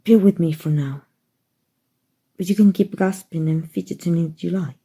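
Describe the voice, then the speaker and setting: A woman voicing a kind, doting, aristocratic female vampire in a soft, gentle ASMR roleplay. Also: on the low side, moderate pace. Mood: soothing, pleased. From Mexico. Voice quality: breathy, soft. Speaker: voice actor.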